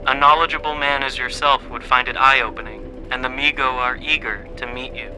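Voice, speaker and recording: An actor playing a smooth-talking, formal conspirator in a radio drama, persuasive and measured. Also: male voice, medium pitch, steady tone, close to an everyday voice. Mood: courteous, persuasive. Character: smooth-talking conspirator luring recruits for aliens. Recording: clearly noisy background.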